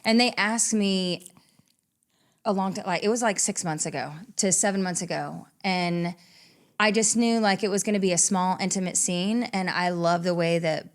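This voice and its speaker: Give me a chatty woman, slightly low. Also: steady tone, moderate pace. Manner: chatty, rambling, laughs often. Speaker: singer-songwriter guest. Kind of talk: casual interview chat. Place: small recording studio.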